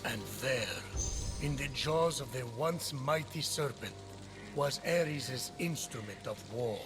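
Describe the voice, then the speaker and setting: Game narrator: mid-pitched, wise and measured myth teller, dryly reproachful, score behind. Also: male voice, expressive, music in the background. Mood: grand storytelling. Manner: measured, solemn, dry. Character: wise, patient, dryly reproachful male storyteller of myths. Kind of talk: scripted video game narration.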